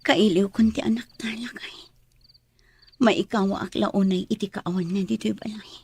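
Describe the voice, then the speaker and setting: A woman's voice: mid-range voice, fairly even intonation, normal speed, darkish tone, breathy edge. An actress playing a mother in a radio drama who misses her child. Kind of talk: Ilocano radio drama acting.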